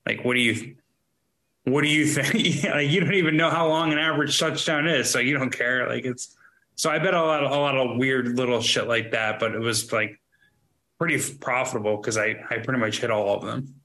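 A man's voice: mid-range voice, fairly even intonation, a little fast, pushed and bright. A man on a casual podcast, rambling about his sports bets with lots of fillers. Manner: rambling, filler-heavy, laid-back. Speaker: podcast co-host, sports bettor.

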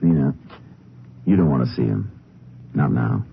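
Very deep-voiced, measured Texas Ranger. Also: male voice, animated, gravelly, slight background noise, exaggerated voice. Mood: gently businesslike. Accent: American. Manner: terse, measured, businesslike questioning. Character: calm, authoritative Texas Ranger lawman investigating a crime. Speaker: radio actor in lead role and narrator. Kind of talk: old-time 1950s radio western drama acting. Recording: dull vintage radio recording with music.

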